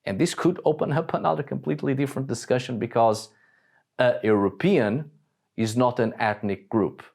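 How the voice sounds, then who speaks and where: A man's voice: medium pitch, normal speed, gravelly, a little mellow. A man from Portugal giving a blunt, scripted video monologue, swearing now and then. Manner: blunt, swears when annoyed. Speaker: video essayist.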